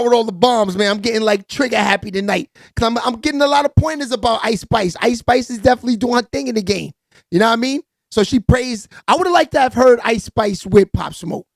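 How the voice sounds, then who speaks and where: A raspy-voiced man hosting a live entertainment news stream, giving hyped, slangy hip-hop gossip commentary with lots of shout-outs to viewers. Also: extremely high pitch, no echo.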